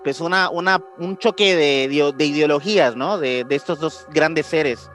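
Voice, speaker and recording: A man's voice: fairly high pitch, moderate pace, fairly bright tone, soft background music. A man with a Mexican accent hosting a podcast, telling a story casually in slangy speech and often searching for words. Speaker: podcast host.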